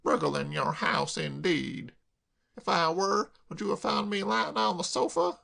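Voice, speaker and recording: A bluff, grandiloquent swindler with a raised, slightly gravelly voice that sounds unlike the plain narration, as a male reader puts him on. He talks slowly and grandly, full of wounded dignity. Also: on the high side, pushed and bright, exaggerated voice. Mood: indignant. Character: bluff pompous middle-aged male con man.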